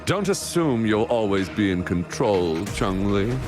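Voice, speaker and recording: A man's voice: lowish voice, very animated, a little slow. A man playing a taunting, menacing video game villain with a theatrical, mocking delivery. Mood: menacing taunts. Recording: loud game music behind. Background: game music.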